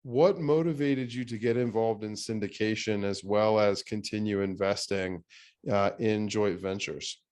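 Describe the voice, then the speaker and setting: A man hosting a real estate podcast interview, talking in a relaxed way with many fillers and restarts. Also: fairly low pitch, unhurried. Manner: thinks aloud with fillers and restarts. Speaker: podcast host. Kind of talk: conversational interview.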